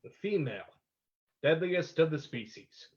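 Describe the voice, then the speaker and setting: A suave, smug gentleman sleuth in a radio play, acted by a man. His high voice is animated and wry, heard through slightly dull, lo-fi sound. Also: normal speed, lightly acted. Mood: smug, teasing. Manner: wry, self-assured. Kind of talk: old-time radio acting.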